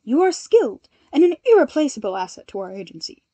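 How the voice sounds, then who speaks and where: A woman's voice: sits high, sing-song, fast talker, dark tone, no echo, voice pushed up. A woman voicing a stiff, earnest young hero leader who speaks formally and emphatically. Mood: earnest, emphatic.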